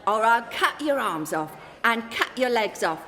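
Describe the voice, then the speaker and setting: A feisty Cockney landlady in a comic radio play. Her voice is high, forceful and brash, full of slangy threats. It rings in a reverberant hall with a crowd behind her. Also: lively intonation, unhurried. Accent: London. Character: feisty, foul-tempered cockney pub landlady.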